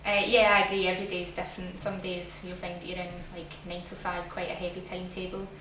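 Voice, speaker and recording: A young Scottish woman answers questions in a steady, very flat voice that sits a little low. She sounds distant and echoey, with clear noise behind her. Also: fast. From Glasgow, Scotland. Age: young adult. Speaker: student interviewee. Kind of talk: casual interview answers. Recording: echoey room, from afar, noisy.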